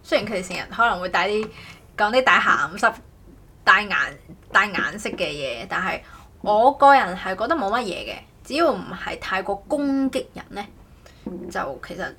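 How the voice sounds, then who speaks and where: Woman, husky, mid pitch, casual podcast chat, rambling, self-correcting. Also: expressive, moderate pace, bright, forceful tone. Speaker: podcast host.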